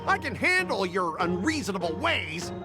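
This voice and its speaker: Quarrelsome cargo boss, very high and swooping, game score behind. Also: male voice, sing-song, normal speed. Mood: mocking. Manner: indignant, complaining, snappish. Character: quarrelsome male cargo-ship manager, abrasive and petty. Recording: game dialogue over music. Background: game music.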